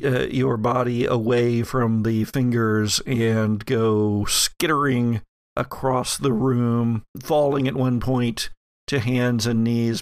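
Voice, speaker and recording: A man narrating a tabletop role-playing game as game master, in a deliberate, wry, conversational way. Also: medium pitch, dry recording.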